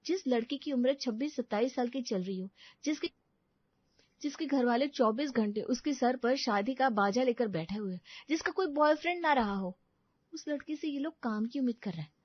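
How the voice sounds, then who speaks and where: A woman's voice: high voice, moderate pace, no room sound, muffled recording. A woman playing an outspoken, cynical unmarried daughter in her twenties in an audio drama, complaining dramatically. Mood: grumbling. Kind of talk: Hindi audio drama acting.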